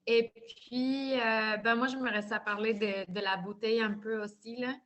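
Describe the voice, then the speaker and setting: A woman from Mexico gives a casual, hesitant testimonial over a video call, with many pauses and fillers. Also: a little high, steady tone, fairly bright tone. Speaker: customer giving a testimonial.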